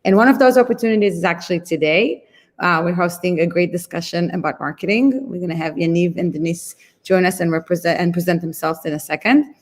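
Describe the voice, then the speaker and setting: Lady kicking off a web event, lowish voice, friendly, lots of ums. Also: a little fast. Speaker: community founder and event host. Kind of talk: event welcome remarks.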